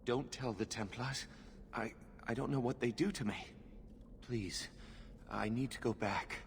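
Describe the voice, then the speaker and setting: An actor playing a frightened young recruit in a fantasy video game, stammering and pleading anxiously. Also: male voice, medium pitch, measured pace, slight background noise, close to an everyday voice. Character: frightened, shaken young male recruit rescued from captors. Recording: hall reverb with loud music behind.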